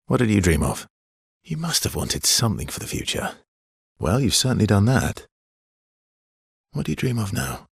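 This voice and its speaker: An actor playing a weary, guarded, sorrowful young man in a video game, speaking softly and haltingly with earnest feeling and a husky, breathy voice. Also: deep voice, no room sound, clean studio sound, full character voice. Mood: hesitant, pensive. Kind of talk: dramatic video game acting.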